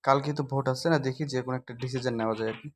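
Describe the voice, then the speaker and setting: A man in a casual phone conversation, asking questions in a halting, repetitive way and mixing in English words. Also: medium pitch, fairly even intonation, very noisy. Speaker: voter receiving a campaign call. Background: music.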